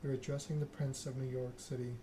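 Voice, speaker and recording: A man playing a loyal, dutiful vampire sheriff in a video game, giving a formal, deliberate report. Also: medium pitch, little pitch movement, close to an everyday voice. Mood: formal, grave. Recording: clear background noise.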